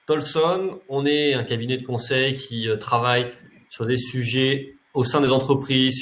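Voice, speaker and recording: Guy hosting a video call webinar, mid-pitched, explaining stuff w/ lots of fillers. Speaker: consultant, webinar host. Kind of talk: webinar presentation.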